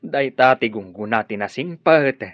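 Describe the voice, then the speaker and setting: A man playing a proud father speaking slowly and tenderly to his son in a radio drama. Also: mid-range voice, animated, dry recording, lo-fi sound, lightly acted. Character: proud father of a poor student.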